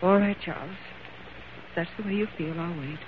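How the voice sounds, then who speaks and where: A lonely, discontented wife played by a woman in a vintage radio play. She speaks with wounded restraint through a thin, narrow-band broadcast sound with steady background noise. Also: on the low side, normal speed, lightly acted. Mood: hurt, compliant. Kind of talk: old-time radio drama acting. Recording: tinny, noisy old radio recording.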